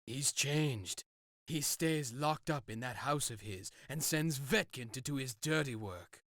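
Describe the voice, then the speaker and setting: An actor playing a resentful, excitable peasant townsman in a video game. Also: medium pitch, measured pace, no room sound, clean studio sound, natural voice. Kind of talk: video game cutscene acting.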